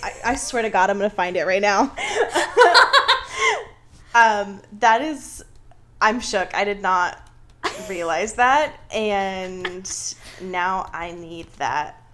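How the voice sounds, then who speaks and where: A woman's voice: mid-range voice, animated, slow pace, fairly bright tone. A young woman co-hosting a podcast, chatting casually and comedically in an irreverent, slangy, sweary way. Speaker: podcast co-host.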